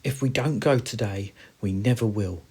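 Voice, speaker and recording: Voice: mid-range voice, measured pace, a little mellow, voice pushed up, natural voice. A man voicing a sensible, bold little hamster in a children's story, with a matter-of-fact manner.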